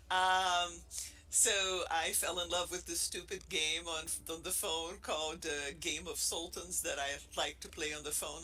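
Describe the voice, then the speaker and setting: A man's voice: on the high side, sharp tone, husky, low-quality recording. A middle-aged man from Brazil with a Brazilian Portuguese accent, presenting casually as a professor, playful and chatty with many restarts. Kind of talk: casual presentation to a room and online audience.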